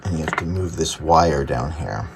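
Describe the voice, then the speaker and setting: Low-pitched man thinking aloud. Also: moderate pace, creaky, a little hiss or hum. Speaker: host, antique radio repairer. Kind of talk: casual hands-on repair narration. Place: indoor workshop at a workbench.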